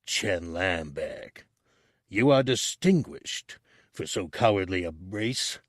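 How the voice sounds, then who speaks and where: A man doing a slow snarling lizard hunter. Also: low-pitched, expressive, no room sound, clean studio sound, exaggerated voice. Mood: menacing. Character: huge hissing male lizard hunter, menacing.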